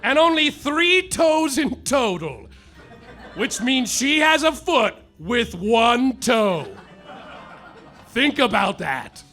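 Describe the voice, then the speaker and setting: A man's voice: very high voice, big pitch swings, slow, pushed and bright, faint music behind, full character voice. An actor playing a hapless, overwrought private detective narrating his misfortunes in a live comedy radio play. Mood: boastful. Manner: melodramatic noir narration, overwrought. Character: hapless hard-boiled male private detective. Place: theater stage, live.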